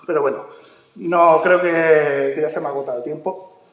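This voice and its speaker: A man's voice: a little high, normal speed. A man from Mallorca speaking on a panel at a round-table talk, hesitant and full of fillers. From Mallorca, Spain. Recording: echoey hall, dull sound.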